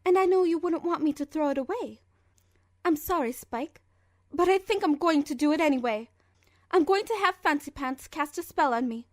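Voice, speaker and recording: A woman's voice: high-pitched, animated, moderate pace, no echo, studio quality. A woman voicing a refined, lovestruck young female pony fashionista, theatrical and elegant, in an audio drama letter reading. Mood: tender, resolved.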